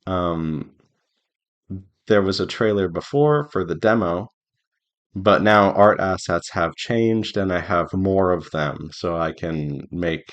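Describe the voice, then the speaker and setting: A man giving an informal devlog update as an indie game developer, speaking haltingly as he thinks aloud. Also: low-pitched. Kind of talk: informal progress update to viewers.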